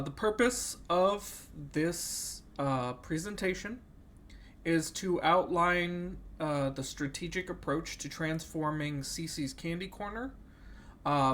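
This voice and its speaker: A young man with a cold and a slightly nasal voice, giving a class project presentation with many fillers and pauses. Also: a little high, fairly bright tone, slight background noise. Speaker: student presenting an assignment.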